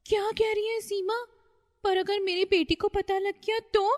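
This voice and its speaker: A woman's voice: flat delivery, moderate pace, big-room sound. A woman voicing a fretful older mother-in-law who panics easily, in a cartoon story. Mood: worried. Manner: shrill, panicky.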